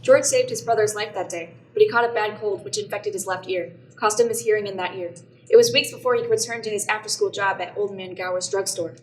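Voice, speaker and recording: A woman playing a brisk, knowing angel who guides and narrates in a radio play. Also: medium pitch, steady tone, slight background noise, natural voice, low-quality recording. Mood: narrating. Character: brisk, knowing assistant angel guiding a newcomer.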